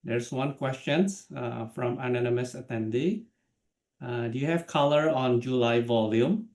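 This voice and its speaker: Echoey male moderator, medium pitch. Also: unhurried, darkish tone. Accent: Indonesian. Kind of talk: formal call moderation. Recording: roomy, echoing sound.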